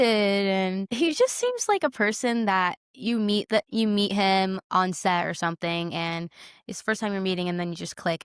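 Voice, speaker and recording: A woman's voice: medium pitch, smooth, no room sound, clean studio sound. A young woman co-hosting a podcast, chatting casually and effusively, stressing and repeating her words. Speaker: podcast co-host. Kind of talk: casual chat about films.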